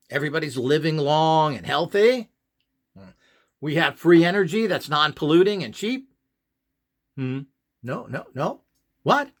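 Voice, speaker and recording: A man's voice: sits high, a bit bright. A man giving an opinionated monologue as a channel host, emphatic and scornful, often throwing out rhetorical questions. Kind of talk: opinionated monologue to camera or listeners.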